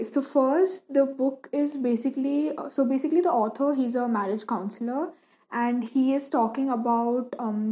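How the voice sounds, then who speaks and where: Chatty young woman, a bit high. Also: darkish tone. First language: Malayalam. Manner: chatty, many fillers and restarts. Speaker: painting vlogger. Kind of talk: casual chat over a painting session.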